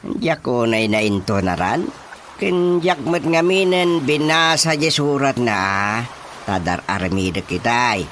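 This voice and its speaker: A man's voice: mid-range voice, a bit bright, slight background noise. An actor playing a playful older village father in a Filipino radio comedy drama, with a Filipino accent and lots of exclamations and hums. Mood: chatty. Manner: exclamatory, full of drawn-out interjections and hums. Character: older village father, playful, easily amused, fussing over his coffee. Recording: radio drama with music behind.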